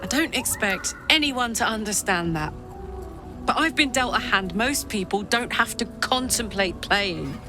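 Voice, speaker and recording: A woman's voice: medium pitch, expressive, measured pace, over music. A woman acting a tough, big-hearted, defiant warrior in a fantasy video game, frank and emotionally open. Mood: defiant, firm.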